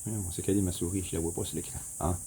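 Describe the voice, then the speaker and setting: A man with a Québécois accent, casually walking viewers through a map on his screen, rambling, repeating himself and clearing his throat often. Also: low-pitched, steady tone, very fast, warm tone, slight background noise. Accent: Québécois French. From Quebec. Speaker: vlogger. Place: home in the Philippines.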